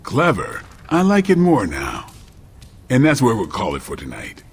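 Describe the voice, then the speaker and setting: A man's voice: mid-range voice, wildly swooping pitch, moderate pace, slight background noise. A man acting as a wry, thoughtful hero who leads a group in a video game cutscene, speaking conversationally. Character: wry, thoughtful male hero leading a book club.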